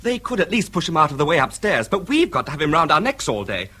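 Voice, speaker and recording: A man's voice: a little high, sing-song, fast talker, pushed and bright, slightly rough, heavily acted. Tense, bitter young Englishman. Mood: bitter, aggrieved. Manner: bitter, complaining, sarcastic. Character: resentful, class-conscious young grammar-school son. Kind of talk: radio drama acting.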